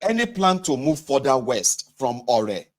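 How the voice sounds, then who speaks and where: Nigerian guy reading a book out loud, medium pitch, chatty asides to whoever's listening, fixes his slips as he goes. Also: very animated, unhurried. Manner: conversational, addresses listeners directly, stumbles and self-corrects. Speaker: host reading a book aloud with commentary. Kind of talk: read-aloud narration with casual asides.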